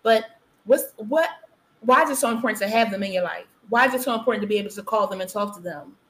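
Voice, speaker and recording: A woman's voice: medium pitch, quick pace, fairly bright tone. A woman host, chatting casually and encouragingly with her guest. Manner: encouraging, frequent affirmations. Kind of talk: casual interview talk. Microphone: video call.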